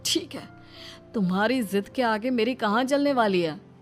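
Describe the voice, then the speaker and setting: A man's voice: very high-pitched, expressive, moderate pace. A man voicing a resigned, exasperated young husband in a cartoon story, pleading and complaining. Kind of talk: Hindi cartoon story dubbing. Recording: background music behind the voice.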